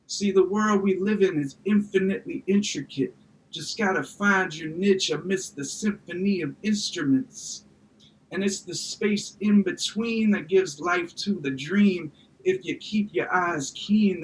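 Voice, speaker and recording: Male slam poet, high register, rhythmic and emphatic rhymed delivery, slightly noisy recording. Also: fairly bright tone. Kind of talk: rhyming slam poetry recitation.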